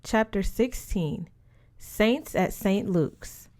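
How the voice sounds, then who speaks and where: A woman reading a young adult novel aloud as scripted narration, with expressive delivery. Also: mid-range voice, drawn-out words. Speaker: audiobook reader.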